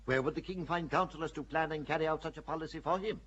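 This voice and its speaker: An actor playing a calculating bishop in a radio play, speaking in a measured, persuasive way with a husky voice. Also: male voice, fairly high pitch, fairly bright tone, muffled recording, slight background noise, natural voice. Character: shrewd older churchman, a bishop, calculating.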